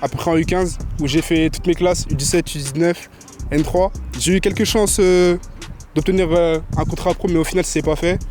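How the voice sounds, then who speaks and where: Young guy from paris talking fast about his football path, lots of euh. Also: a little high, fairly even intonation, darkish tone. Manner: quick, hesitant with fillers. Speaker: amateur footballer. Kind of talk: self-introduction.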